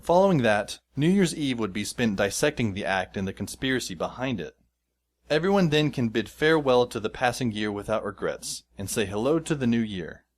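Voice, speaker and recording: A man's voice: medium pitch, animated, fairly quick. A man narrating a story in the first person with a dry, sardonic manner.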